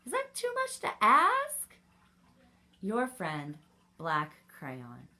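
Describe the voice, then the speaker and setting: Woman acting out indignant storybook crayon, emphatic, bright, very lively pitch. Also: very slow, exaggerated voice. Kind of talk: storybook read-aloud for children.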